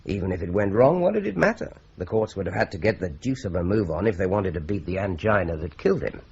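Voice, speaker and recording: An actor playing a shrewd, probing police investigator in a radio drama, measured and dry in manner. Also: male voice, deep voice, big pitch swings, gravelly, darkish tone, slightly rough, full character voice. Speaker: police detective investigating a murder. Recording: dull lo-fi radio recording.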